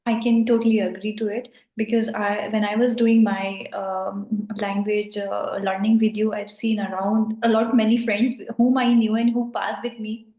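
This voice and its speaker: A woman hosting an interview podcast, asking questions in an earnest, halting way with fillers. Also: mid-range voice, flat delivery, muffled recording. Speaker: podcast host. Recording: echoey room.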